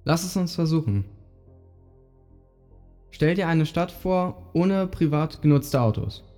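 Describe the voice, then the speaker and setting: A man's voice: fairly high pitch, lively intonation, slow, dark tone. A man narrating a scripted audio play in short, direct appeals to the listener. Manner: short imperative phrases inviting listeners to imagine. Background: music.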